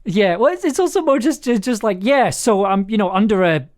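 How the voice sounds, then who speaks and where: A man's voice: high voice, expressive, brisk, darkish tone. A man hosting a livestream as writer and director, giving casual, encouraging feedback in a hesitant, rambling way. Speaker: host, writer-director of audio drama.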